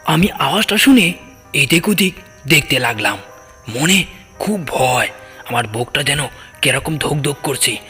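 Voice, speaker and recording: A man's voice: on the high side, lively intonation, a little slow, long pauses, pushed and bright. A man narrating a scripted first-person ghost story in a dramatic storytelling style. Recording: long cavernous reverb, music underneath. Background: background music.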